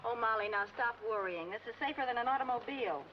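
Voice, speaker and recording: A woman playing a brisk, reassuring young woman in a 1940s film comedy. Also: a little high, close to an everyday voice. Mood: eager. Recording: dull, very noisy 1940s film soundtrack.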